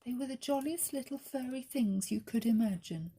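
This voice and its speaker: A woman reading a children's story as narrator, in a gentle, deliberate storytelling manner. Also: a little high, expressive, slightly rough. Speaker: story reader.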